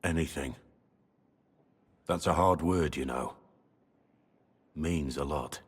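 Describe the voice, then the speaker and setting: A very deep, grave brooding warrior. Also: male voice, slightly rough, exaggerated voice. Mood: wistful. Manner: earnest, grave, measured. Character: brooding middle-aged bearded male warrior, solemn and devoted. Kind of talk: video game voice acting.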